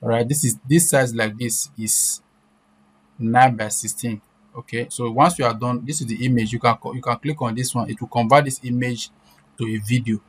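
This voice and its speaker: A man's voice: mid-range voice, fairly even intonation, moderate pace. A man presenting an informal step-by-step screen-share tutorial, repeating himself and often checking in with short questions. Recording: clear background noise.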